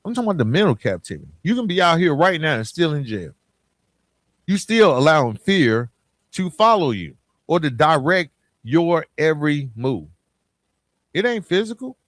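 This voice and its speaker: A man's voice: fairly high pitch, very animated, normal speed, dry recording, clean studio sound. An American man hosting a podcast, speaking in a casual motivational monologue, emphatic and colloquial, putting questions straight to the listener. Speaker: podcast host.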